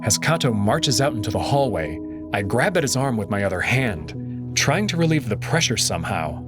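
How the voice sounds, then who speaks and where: A man narrating a story in the first person with an expressive, dramatic reading. His voice is lower than most, but he lets it rise and fall widely, and faint music plays behind him. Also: moderate pace.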